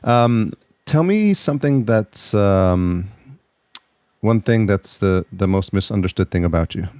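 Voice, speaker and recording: Very low-voiced man hosting a podcast. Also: expressive, a little mellow, slight background noise. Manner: halting, many restarts, friendly. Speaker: podcast host. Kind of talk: casual interview chat.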